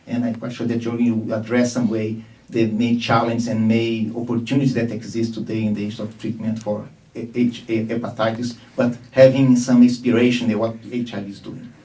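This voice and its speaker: Dark-toned man presenting from a distance. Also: medium pitch, flat delivery. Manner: measured, halting phrasing. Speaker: conference presenter. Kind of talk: formal technical presentation. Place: conference meeting room. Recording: distant room microphone.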